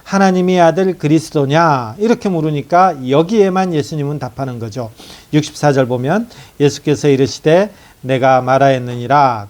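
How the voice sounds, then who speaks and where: Man preaching through scripture, fairly high voice. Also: darkish tone. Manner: explains scripture verse by verse, asking rhetorical questions. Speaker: pastor. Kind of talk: expository sermon.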